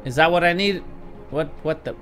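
A man's voice: a little high, moderate pace, a bit bright. A man in his thirties giving casual, swearing gameplay commentary to viewers from a room at home. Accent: put-on Texas drawl. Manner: swears freely, talks to viewers, bursts of frustration. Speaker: gameplay streamer. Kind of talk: casual let's play commentary. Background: game music.

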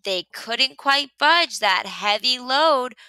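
Female children's book reader, higher-pitched, slow and deliberate, expressive rhythmic read-aloud. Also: clear, resonant tone, dry recording, clean studio sound. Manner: deliberate, rhythmic rhyming delivery.

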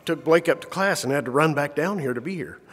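A man's voice: fairly high pitch, quick pace, husky. Breathless middle-aged man in a church hall. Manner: relaxed, chuckling, informal. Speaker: church leader making announcements. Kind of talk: announcement introducing a speaker. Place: large church sanctuary. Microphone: podium mic. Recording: long reverb of a large church hall.

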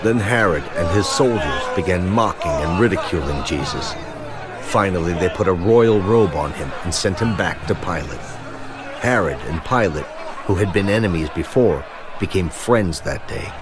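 Male narrator for scripture drama: low pitch, measured storytelling, music underneath. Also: lively intonation, crowd noise.

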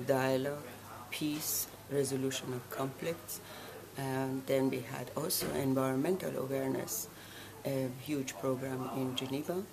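Measured woman from Iran in interview. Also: extremely low pitch, rough recording. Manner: measured, deliberate, with frequent pauses and fillers. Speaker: guest, association president. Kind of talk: formal interview answers.

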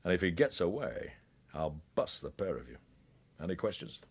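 A deep-voiced, menacing security boss. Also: male voice, very animated, slightly rough, full character voice. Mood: measured threat. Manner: menacing, measured, authoritative. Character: commanding, suspicious security service boss. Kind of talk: radio crime drama acting.

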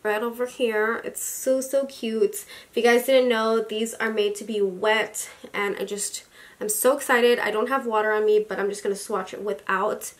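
A young woman in her twenties chatting about makeup, gushing and chatty, full of likes and excited exclamations, filmed in a small room at her vanity. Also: fairly high pitch, normal speed. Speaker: beauty vlogger. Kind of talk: casual makeup haul chat. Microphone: camera at desk distance.

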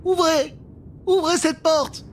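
Young heroine of a fairy tale, voiced by a man: high, urgent, pleading, brave. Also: steady tone, slow, noisy background. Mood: shouting, urgent. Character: young girl, brave and pleading older sister.

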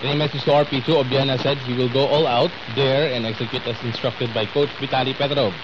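A man's voice: mid-range voice, steady tone, fast talker. A man with a Filipino accent reading sports news as a radio anchor. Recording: dull AM radio reception, loud static. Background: loud radio static.

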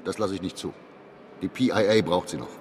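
A man's voice: deep voice, brisk, darkish tone, natural voice. An actor in a radio drama playing a cold, curt, calculating chief of an intelligence agency, a man who does not yield. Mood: curt, dismissive. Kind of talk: modern radio drama acting. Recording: long reverb, noisy ambience.

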